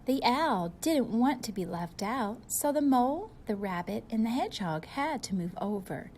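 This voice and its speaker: A woman's voice: a little high, expressive, normal speed, darkish tone, a little hiss or hum. A woman reading a picture book aloud like a teacher, expressively and with simple asides to young children.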